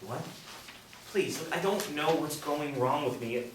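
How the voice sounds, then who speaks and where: A man's voice: a little high, unhurried, lightly acted. Strained, confused man in agony played by a male actor, stammering drama role; distant mic, faint noise. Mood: pained, confused. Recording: echoey room, distant mic, background noise.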